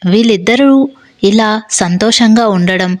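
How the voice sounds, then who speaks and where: A woman storyteller narrates a scripted moral tale. Her voice sits at an average female pitch. The recording is very dry, with no room sound. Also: unhurried, no echo.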